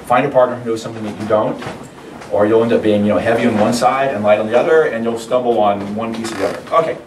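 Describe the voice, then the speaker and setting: A middle-aged man with a cold and a hoarse voice, giving a rambling, conversational university lecture with many restarts. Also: fairly low pitch, steady tone, fast talker, a little mellow. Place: large lecture hall. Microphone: far room mic. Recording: echoey lecture hall from far off, noisy.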